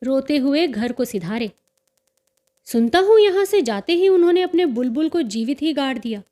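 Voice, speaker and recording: Woman voicing a boastful, high-voiced teenage dandy. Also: moderate pace. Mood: excited, proud. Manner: boastful, excitable storytelling. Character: cheerful boastful teenage dandy and bird-fighting enthusiast.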